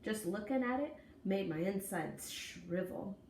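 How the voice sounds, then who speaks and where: A woman reading a children's story aloud in an expressive, folksy, deliberate way with dramatic emphasis. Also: medium pitch, creaky. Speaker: storybook reader. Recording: echoey room, distant mic, faint noise.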